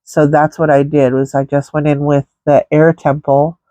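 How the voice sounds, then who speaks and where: A woman's voice: deep voice, level tone, moderate pace, warm tone, no echo. A woman with a stuffy, congested nose chatting casually through a makeup tutorial, rambling with many fillers. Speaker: makeup vlogger.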